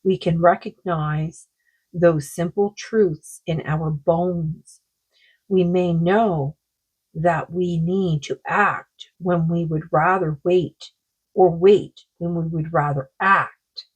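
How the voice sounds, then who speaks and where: A woman with a sore throat giving a rambling, casual oracle card reading, full of fillers and slow read-aloud passages. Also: lowish voice, steady tone, slightly rough, lo-fi sound. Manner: rambling, many fillers, reads card texts aloud slowly. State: sore throat, losing her voice.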